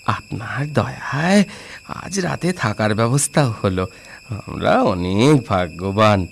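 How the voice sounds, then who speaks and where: A man's voice: on the low side, animated, slow pace, vocal fry, a little hiss or hum, heavily acted. A man playing a poor, grateful but bold wanderer in a children's cartoon fairy tale, speaking earnestly and expressively.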